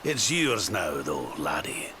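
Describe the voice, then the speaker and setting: Lively old Scottish adventurer. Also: male voice, low voice, slow, very noisy, full character voice. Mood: warm, purposeful. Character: kindly old gentleman adventurer, fatherly and encouraging. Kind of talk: video game voice acting. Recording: music behind the voice.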